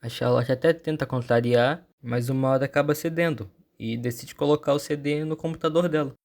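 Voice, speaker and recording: A man's voice: mid-range voice, a little fast, darkish tone. Brazilian man narrating a horror story. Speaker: YouTube channel host. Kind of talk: informal scripted storytelling narration.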